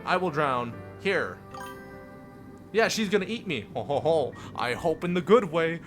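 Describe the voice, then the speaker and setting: A man's voice: fairly high pitch, lively intonation, measured pace, fairly bright tone. A man live-streaming a visual novel, reading it aloud theatrically with playful asides. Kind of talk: dramatic read-aloud narration with joking asides. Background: music.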